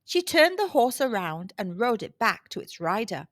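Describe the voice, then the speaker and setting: A woman storyteller narrating a picture book for children with dramatic, deliberate emphasis. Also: fairly high pitch, sing-song, no echo, clean studio sound. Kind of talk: scripted storybook narration for kids.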